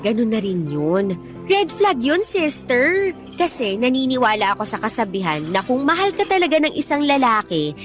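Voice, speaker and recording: An actress playing a blunt, protective young Filipino best friend in a radio drama, scolding emphatically in slangy speech. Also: female voice, on the high side.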